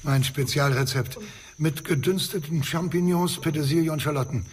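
A man's voice: a little high, normal speed, slightly rough. Genial, fussy male camp host. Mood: jovial. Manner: courteous, chatty, slightly theatrical. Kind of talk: radio drama acting.

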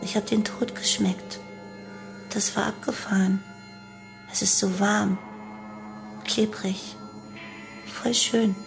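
A woman's voice: mid-range voice, flat delivery, takes it slowly, creaky, pauses often. An actress playing a young female cult killer in a radio play, confessing in a detached, exalted way. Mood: dreamy exaltation. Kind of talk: first-person radio play monologue. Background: music bed.

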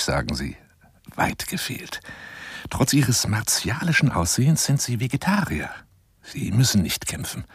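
A garrulous big-game hunter in a radio monologue, courting a woman as he rambles. His low, husky voice swoops theatrically between exclamation and coaxing whisper. The sound is dry and intimate. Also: no echo, full character voice. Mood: chatty, teasing. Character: garrulous, self-styled lion hunter wooing a woman by telephone.

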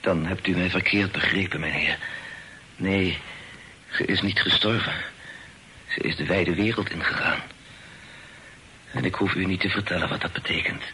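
An actor in a period radio play, voicing a humble, talkative old poet who is effusive, hesitant and deferential. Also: male voice, low voice, normal speed, long pauses, sharp tone. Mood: sorrowful. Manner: effusive, hesitant, sighing, repeats himself. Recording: noisy old radio drama recording.

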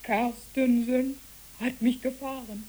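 A woman playing a solemn child wanderer who talks in riddles, hushed and matter-of-fact, in a poetic German radio drama. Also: on the high side, fairly even intonation, slow pace, low-quality recording. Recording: clear tape hiss.

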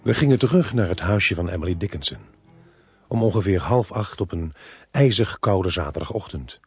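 A man playing a dry, watchful police inspector in a radio drama, guarded and businesslike, with a husky voice and terse delivery. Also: low-pitched, animated, normal speed, exaggerated voice. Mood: sombre narration. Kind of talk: radio drama acting with first-person narration.

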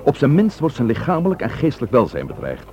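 An actor playing a dry, understated and persistent police inspector in a radio drama. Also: male voice, medium pitch, huge pitch swings, quick pace, mellow. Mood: probing. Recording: noisy old radio play recording.

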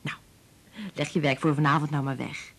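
An actress playing a kind, patient woman scientist and protective mother in a Dutch radio play, explaining things in a measured way. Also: sits low, level tone, fairly bright tone. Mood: soothing.

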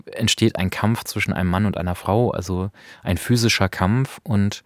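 Low-voiced man, dry studio sound. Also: steady tone, moderate pace, no echo. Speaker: podcast co-host. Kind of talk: conversational radio discussion of audio drama. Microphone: close studio microphone.

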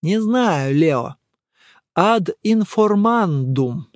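A man voicing a wry, self-assured male character in an audiobook, measured, ironic and mock-pompous. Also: high-pitched, animated, no echo, clean studio sound, voice pushed up, exaggerated voice.

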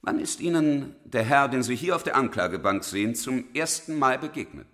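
Man acting old-time prosecutor in a radio courtroom drama, sharp forceful voice, very animated, formal and probing. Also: mid-range voice, normal speed, lightly acted. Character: formal, theatrical male Victorian public prosecutor.

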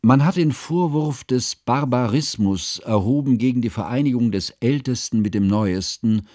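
An actor playing an earnest, learned male chronicler, narrating a literary radio drama in formal, measured, pedantic diction. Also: mid-range voice, dark tone, no echo, clean studio sound, close to an everyday voice. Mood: formal, reflective.

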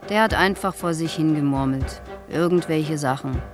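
A man's voice: a little high, moderate pace, lightly acted. An actor playing a plain-spoken male theatre porter giving a witness statement in a radio drama, in clipped, colloquial fragments. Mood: recollecting. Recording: prominent guitar music underneath. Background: guitar music.

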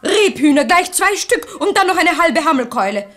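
An actress playing a cheeky, sharp-witted maidservant in a German verse radio drama, pert and pointed. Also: female voice, very high voice, very animated, normal speed, full character voice. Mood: gleeful mockery. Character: cheeky, sharp-witted maidservant mocking her gullible master.

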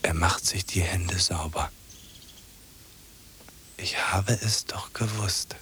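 A man's voice: low voice, fairly even intonation, slow, bright, forceful tone, a little hiss or hum, full character voice. A man playing a brooding, accusing mourner at a graveside in a German radio play, with an urgent, reproachful manner. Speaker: actor in a radio drama.

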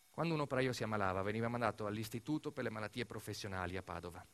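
A man performs a dramatic narrative monologue as an actor, telling the story deliberately in short, weighted phrases. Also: mid-range voice, fairly bright tone. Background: music.

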